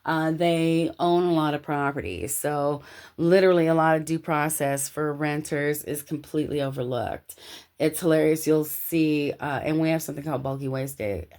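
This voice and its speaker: An American woman from Los Angeles talking casually and telling personal anecdotes in an animated, slangy way. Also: low-pitched, normal speed. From Los Angeles, United States.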